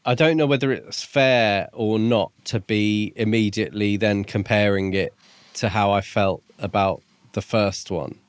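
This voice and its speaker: A man's voice: fairly low pitch, unhurried, clean tone, dry recording. A man co-hosting a book review podcast, recapping and discussing a novel. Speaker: podcast co-host.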